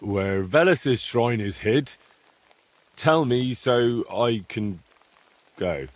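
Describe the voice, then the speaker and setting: An actor playing a kindly, patient young man in a medieval video game, gentle and slightly hesitant as he coaxes. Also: medium pitch, extremely slow, no echo. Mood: tender, reciting. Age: young adult. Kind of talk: dramatic video game dialogue.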